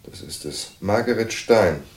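A man's voice: fairly low pitch, lively intonation, a little slow, a little mellow, a little hiss or hum. An actor playing an obliging official who mutters over his files in an audio drama. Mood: helpful, searching.

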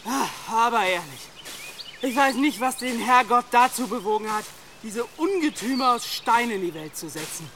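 Very high-pitched man, young novice monk, grumbling, cheeky, radio play, clearly noisy outdoor setting. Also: expressive, moderate pace, bright, forceful tone, exaggerated voice. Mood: exasperated. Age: young adult. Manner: grumbling, flippant.

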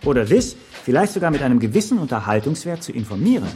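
Radio drama: male actor as didactic, patronizing management trainer, animated melody, light music behind. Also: medium pitch, fast talker, close to an everyday voice. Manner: didactic, rhetorical, peppered with business English. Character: slick, patronizing business pitch coach. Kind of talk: instructional lecture in radio drama.

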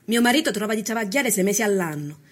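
A woman playing a poor, plain-spoken peasant wife with a Sicilian accent and a husky voice. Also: medium pitch, lively intonation, fast. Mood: plaintive, blunt. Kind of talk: acted documentary testimony.

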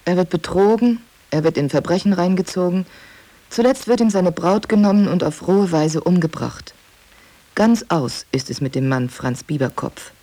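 A woman's voice: lowish voice, moderate pace, dark tone. A woman reading scripted narration for a radio feature. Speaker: narrator reading a book summary.

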